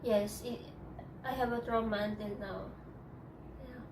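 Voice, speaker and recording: Halting Filipino-accented woman, distant mic. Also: fairly high pitch, steady tone, noisy background. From Philippines. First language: Tagalog. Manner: halting, hesitant, many restarts. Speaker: interviewee. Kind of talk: personal interview. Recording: echoey room, distant mic.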